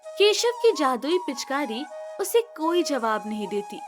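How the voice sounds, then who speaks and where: A woman's voice: high-pitched, sing-song, measured pace, music in the background. A woman narrating a scripted moral story for children.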